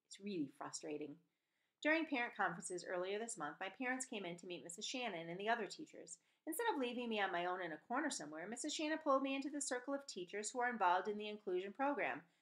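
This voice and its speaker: A woman in her forties reading a novel aloud expressively, with the odd stumble, into a computer mic in a room at home. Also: mid-range voice. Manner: animated, with stumbles and self-corrections. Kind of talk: expressive storybook read-aloud. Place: small room at home, indoors. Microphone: computer webcam mic at desk distance.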